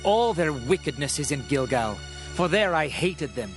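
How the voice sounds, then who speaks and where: A man's voice: fairly high pitch, big pitch swings, moderate pace, faint music behind. A man playing a stern prophet who speaks for an angry God, declaiming scripture solemnly in a dramatized reading. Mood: wrathful.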